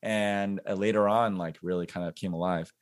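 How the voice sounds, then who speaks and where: A man in his forties talks casually as a podcast guest, his voice sitting a little low. He reflects out loud, pausing on fillers and restarting sentences as he works out what he means. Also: steady tone. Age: 40s. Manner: reflective, thinks aloud with many fillers and restarts. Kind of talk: casual interview chat.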